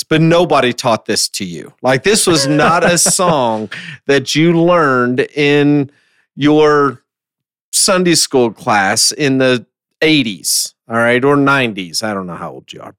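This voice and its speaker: A man's voice: mid-range voice, fairly bright tone, no echo, studio quality. A man chatting casually as the host of a podcast.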